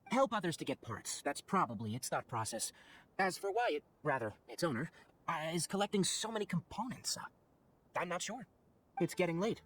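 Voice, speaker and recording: A young, wry forest ranger in a video game. His voice sits fairly high for a male, with lively intonation and an articulate, matter-of-fact way of explaining. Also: moderate pace. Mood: analytical. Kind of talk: video game character acting.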